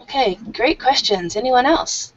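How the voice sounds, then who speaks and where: A woman teaching an online English class, explaining slowly and warmly with many fillers. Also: a little high, expressive, lo-fi sound.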